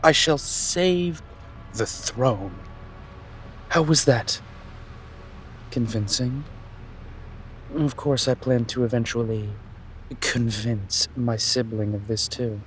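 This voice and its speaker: Man playing a slow-talking, haughty fantasy prince. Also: mid-range voice, soft background music, natural voice. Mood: grandiose. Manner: pompous, deliberate, drawn-out delivery. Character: haughty, calculating, menacing young prince, smugly theatrical. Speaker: voice actor. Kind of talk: scripted fantasy audio drama acting.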